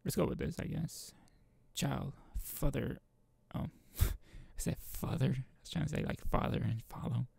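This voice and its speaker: A man giving a casual let's play of a visual novel, reading text aloud and rambling as he thinks out loud. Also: medium pitch, a little slow, creaky, slightly rough, no room sound. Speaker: gaming video host.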